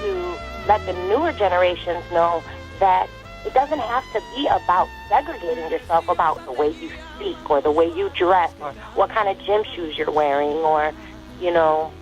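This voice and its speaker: A woman's voice: lowish voice, moderate pace, soft background music. A woman guest on a radio interview, telling personal stories with animation and frequent laughter. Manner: animated storyteller, laughs often. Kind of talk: casual interview conversation. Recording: muffled lo-fi radio recording.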